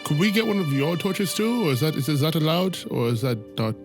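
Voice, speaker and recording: A man playing a tabletop game, improvising casually and informally in a halting way. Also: a little high, sing-song. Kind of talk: casual improvised role-play. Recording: prominent music under the voice.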